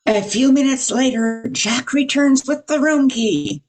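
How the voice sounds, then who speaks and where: A woman's voice: high-pitched, a little slow, a little mellow. An older woman reading scripted narration for an amateur old-time radio drama.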